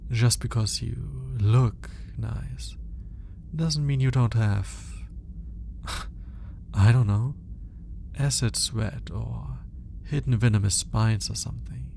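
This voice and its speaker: A man performs an alien scientist in an intimate ASMR roleplay, studying with clinical curiosity and reluctant fascination. His voice is soft and mellow with a creaky, gravelly edge. He speaks slowly and with measured care, over a faint background noise. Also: mid-range voice. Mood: clinical, detached. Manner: clinical, measured, hesitant when flustered.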